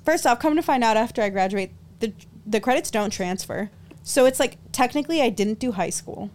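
A woman's voice: on the high side, expressive, fairly quick, darkish tone. A young woman from Los Angeles, a podcast guest telling stories in casual chat, full of "like" fillers. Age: young adult. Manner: storytelling, frequent "like" fillers, laughs often.

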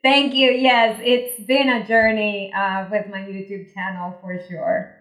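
A woman's voice: medium pitch, flat delivery, unhurried, fairly bright tone. A woman in her forties, originally from Venezuela, talking as a podcast guest in a friendly, reflective way and laughing easily. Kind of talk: casual interview. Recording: echoey room.

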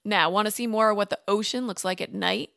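A woman's voice: medium pitch, steady tone, brisk, gravelly, pushed and bright, no room sound, studio quality. A woman voicing a friendly merman boy in a storybook with an easygoing manner.

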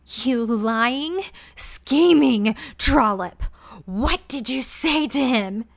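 Woman voicing a cruel evil queen, slow, loud, snarling, dry close sound. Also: a little high, fairly even intonation, dry recording, lightly acted. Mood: furious.

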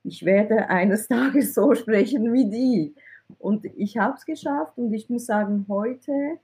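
A woman's voice: a little high, slow, mellow, slightly rough. A woman, from Iran, answering interview questions in a candid way and often closing a thought with a questioning tag. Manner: candid, repeats words when searching, ends points with "oder?". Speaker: IT recruitment consultant.

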